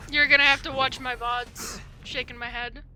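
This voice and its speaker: A woman live-streaming a game with casual, excitable, gushing commentary. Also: high voice, normal speed, poor audio. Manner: excitable, gushing fan talk. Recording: game music behind the voice. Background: video game music.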